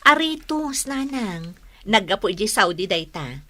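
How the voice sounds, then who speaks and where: A woman's voice: medium pitch, big pitch swings, measured pace, fairly bright tone. A woman with an Ilocano accent playing a sweet, coaxing younger daughter-in-law in a radio comedy-drama.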